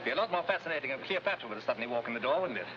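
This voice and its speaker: An actor playing a chatty, whimsical gentleman novelist with breezy upper-class phrasing in a 1950s television adventure. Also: mid-range voice, animated, rapid-fire, natural voice. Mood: breezy, chummy. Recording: muffled old TV soundtrack with noise.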